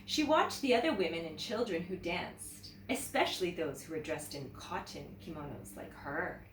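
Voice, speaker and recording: A woman teacher reading a children's story aloud in an expressive, animated way, talking directly to young viewers. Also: on the low side, moderate pace. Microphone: camera mic at some distance. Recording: roomy, distant mic with background noise.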